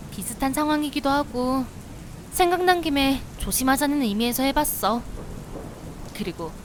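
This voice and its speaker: A woman playing a young woman in a visual novel, telling a ghost story in a measured, conversational way. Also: sits high, slight background noise. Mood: casual, teasing. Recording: music behind the voice.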